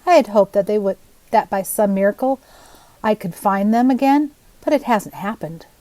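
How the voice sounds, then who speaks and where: A woman's voice: medium pitch, lively intonation, normal speed, warm tone, no room sound, close to an everyday voice. A polite village widow from a storybook played by a woman. She speaks earnestly, as a young boy's mother. The sound is dry and close.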